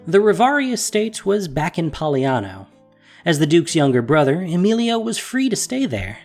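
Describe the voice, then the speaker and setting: Story narrator: measured, wry delivery with an animated, expressive pitch. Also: faint music behind.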